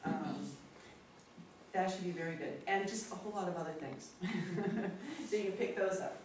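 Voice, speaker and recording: An older woman hosting an event, making informal announcements to an audience in a hesitant, halting way. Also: fairly low pitch, a little mellow, distant mic. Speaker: event host introducing a speaker. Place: indoor meeting room. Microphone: distant camera microphone. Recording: echoey, noisy room recorded from afar.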